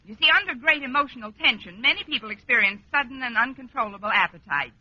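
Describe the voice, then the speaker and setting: An actress playing a wry, wisecracking schoolteacher in a vintage radio sitcom, tossing off drawn-out deadpan asides. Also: female voice, fairly high pitch, slightly rough, dry recording. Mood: placating. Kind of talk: 1940s-50s radio sitcom acting. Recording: muffled old radio recording, loud noise, clicks.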